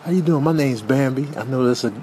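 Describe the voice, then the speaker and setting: A man's voice: mid-range voice, fast talker, warm tone, a little hiss or hum, close to an everyday voice. A man voicing a flirtatious, pushy deer in a comedic animal voiceover, drawling and sweet-talking. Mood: flirtatious coaxing.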